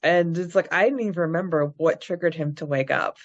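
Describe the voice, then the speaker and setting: A woman's voice: low-pitched, brisk, muffled recording. A young adult woman co-hosting a podcast, recapping and discussing a story. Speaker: podcast co-host. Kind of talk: reading a recap, then casual book-club chat.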